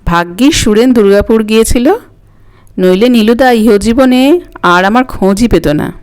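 A woman's voice: fairly high pitch, moderate pace, a little mellow, clear, resonant tone, natural voice. A woman voicing an elderly grandmother who is coy, wistful and fondly scolding in a dramatic story reading. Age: older. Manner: coy, reminiscing, mildly snappish. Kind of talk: dramatic audiobook character reading. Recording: music under the voice.

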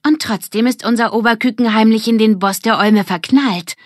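A woman's voice: on the high side, moderate pace, no room sound, clean studio sound, voice pushed up, lightly acted. A woman voicing a cheeky, teasing girl from a children's gang story, mocking and pert.

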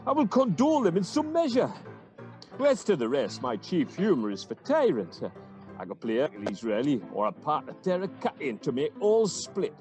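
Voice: mid-range voice, wildly swooping pitch, normal speed, a little mellow, faint music behind. An actor playing a bumptious, eager weaver and amateur player in a Shakespearean radio play, pompous and theatrical in delivery. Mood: boastful.

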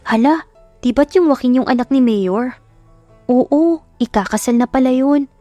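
A nosy, judgmental neighborhood gossip, put on by a woman narrator in an audio drama. The voice is scornful and pitched high, with music behind it. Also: a little slow, mellow, dry recording, highs cut off. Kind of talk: audio drama storybook acting.

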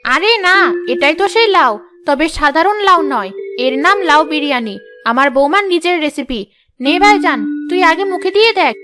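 A woman voicing a bossy, excitable middle-aged aunt in a cartoon, emphatic and quick to find fault. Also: high voice, faint music behind. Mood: excited, proud. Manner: scolding, emphatic. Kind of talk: cartoon story voice acting.

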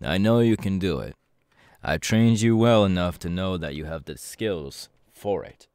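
Guy doing a serious hero mentor for asmr roleplay, really low voice, dry close sound. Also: unhurried, a little mellow, clear, resonant tone, no echo. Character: serious young male pro hero mentor briefing his protégé.

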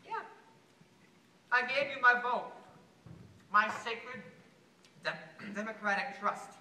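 An actor playing an unhinged, bitter working-class American would-be assassin in a theatrical stage monologue, veering erratically between shouted rage and hushed pleading. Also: male voice, high-pitched, slow pace, exaggerated voice. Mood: sarcastic, mocking. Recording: distant, echoey amateur stage recording, faint noise.

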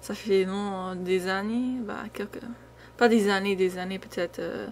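Slow-talking young American woman, noisy recording. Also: fairly even intonation. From United States. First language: English. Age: young adult. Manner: hesitant, trailing off into a lower voice. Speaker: gaming video creator. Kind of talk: casual off-the-cuff gameplay commentary. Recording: noisy home recording, game sound behind. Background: video game sounds.

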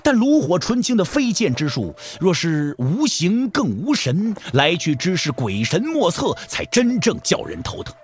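Male narrator, dramatic martial arts storytelling, fairly high voice, lively intonation. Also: a little slow, few pauses.

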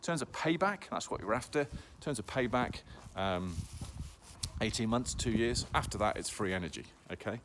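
A deep-voiced man in his fifties talks casually into a phone at arm's length outdoors, peppering his talk with ums and okays. Steady noise sits clearly behind him. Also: moderate pace. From England. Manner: chatty, lots of ums and okays. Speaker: hot tub dealer. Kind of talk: casual selfie sales vlog. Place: outdoors at a showroom yard in snow.

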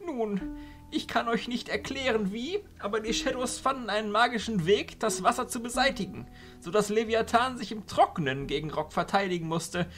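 A woman's voice: a little high, lively intonation, moderate pace, soft background music, natural voice. A woman playing a dignified, grave male archchancellor with formal, courtly phrasing in an amateur audio drama.

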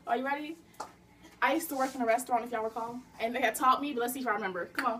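A woman's voice: a little high, rapid-fire, a bit bright, distant mic, slight background noise. A young woman joking and showing off to friends while pouring drinks in a home kitchen. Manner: boastful, joking show-off. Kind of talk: casual chat among friends.

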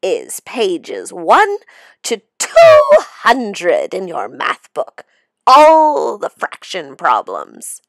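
A gleefully cruel storybook monster schoolteacher, acted out by a woman. She cackles and stretches her words very slowly, with sinister menace and lively swings in pitch. The sound is close and dry. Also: no room sound, heavily acted. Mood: sinister glee. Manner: cackling, drawn-out sinister delivery. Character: menacing monster teacher, slithering green female, gleefully cruel.

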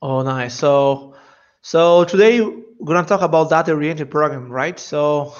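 A man's voice: fairly high pitch, measured pace, clear, resonant tone. A man from Brazil hosting a video-call meetup, talking informally with loose phrasing. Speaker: meetup host. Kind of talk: casual hosting chat. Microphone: headset on video call. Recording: dull lo-fi call audio.

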